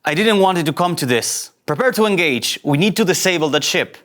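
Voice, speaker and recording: An actor voices a young male ensign in a sci-fi comedy table read. The delivery is highly animated, swinging between forced captainly bravado and halting self-doubt. He is easily flustered and keeps second-guessing himself. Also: close to an everyday voice. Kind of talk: table read of comic sci-fi game script.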